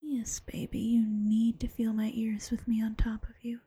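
A woman voicing an affectionate, teasing bunny-girl girlfriend in a slow, coaxing ASMR whisper. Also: fairly high pitch, level tone, mellow, husky, no echo. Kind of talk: ASMR roleplay.

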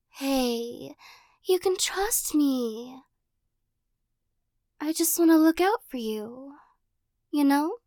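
A young woman voicing a possessive, teasing girl who corners the listener in an audio roleplay, giggling and coaxing, often dropping into soft whispers. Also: sits high, expressive, extremely slow, long pauses, no room sound, studio quality, full character voice.

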